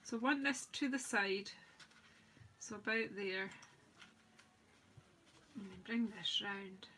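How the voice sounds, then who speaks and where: Chatty woman, bright and croaky. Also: medium pitch, slow, slight background noise. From United Kingdom. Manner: chatty, rambling, self-deprecating, talks while working. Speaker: craft demonstrator host. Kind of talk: live-stream craft demo chat.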